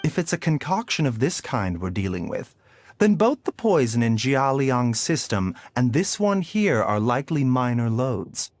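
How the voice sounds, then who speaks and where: A man playing a composed, learned doctor in a video game, speaking formally in measured explanations. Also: mid-range voice, sing-song, dry recording. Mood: calm explaining.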